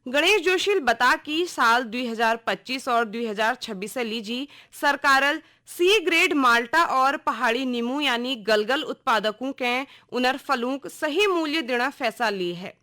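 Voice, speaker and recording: A woman with a Kumaoni accent reading a scripted regional radio news bulletin in a formal, measured announcer's delivery. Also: high-pitched, lively intonation, bright, forceful tone.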